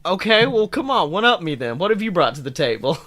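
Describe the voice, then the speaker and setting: A man's voice: high-pitched, big pitch swings, a little fast, bright, forceful tone, no room sound. A man hosting a podcast, reading fan fiction casually and theatrically with sweary, irreverent asides. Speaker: podcast host.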